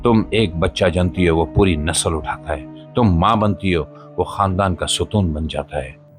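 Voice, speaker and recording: A man narrates a scripted spoken-word monologue in a solemn, emphatic, rhetorical manner, with short pauses between phrases. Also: low voice. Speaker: podcast host and voiceover narrator. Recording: voice-over with music behind.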